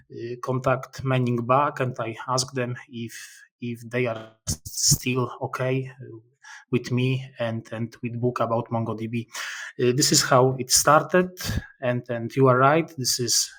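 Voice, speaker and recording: A middle-aged man with a Polish accent, a guest author answering interview questions in careful, halting English with frequent pauses. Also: medium pitch, a bit bright. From Poland.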